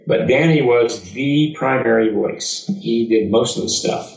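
An older American man speaks as a seasoned storyteller, in a medium-pitched voice. His delivery is emphatic and animated, with pauses for effect. The sound is reverberant, as if the microphone sits some way off in the room. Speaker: voice actor and former radio announcer. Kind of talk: autobiographical storytelling to an audience. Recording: echoey room, distant mic.

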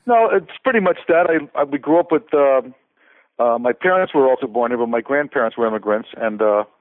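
A man's voice: medium pitch, expressive, a little fast, no echo. An older man from Connecticut, a conductor and composer, talking casually as an interview guest, rambling with many restarts and fillers. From Connecticut, USA. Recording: tinny phone-like line.

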